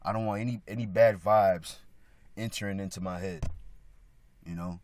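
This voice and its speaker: A man with a slightly raspy, hoarse voice giving a casual religious talk, halting with many restarts and frequent throat clearing. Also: deep voice, steady tone, darkish tone. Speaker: religious video speaker.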